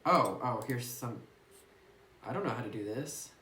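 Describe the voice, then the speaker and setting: A woman's voice: extremely low pitch, expressive, unhurried, sharp tone. A woman casually chatting and giggling as she plays through a game, reading its text aloud, recorded on a phone. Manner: chatty, giggly, muses aloud while playing. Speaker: gaming YouTuber. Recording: roomy phone recording with faint hiss.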